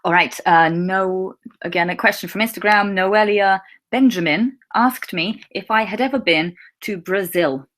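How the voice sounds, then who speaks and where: A young woman whose was Russian. She answers viewer questions in a chatty, easygoing way as a teacher and speaks clearly for learners. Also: mid-range voice, measured pace, fairly bright tone. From England. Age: young adult. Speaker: English teacher. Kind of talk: casual live Q&A.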